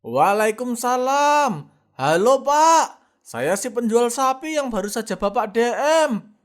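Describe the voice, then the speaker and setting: A slick, smooth-talking young cattle seller in a cartoon. He pitches his goods in a very high voice, with a salesman's easy patter. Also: animated, slow pace. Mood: salesy.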